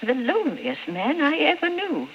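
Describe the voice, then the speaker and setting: A kindly, fretful rooming-house landlady in a vintage radio drama. Her pitch moves in lively swings, and her delivery is halting and deferential. The old recording is muffled, with faint noise underneath. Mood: worried, fond.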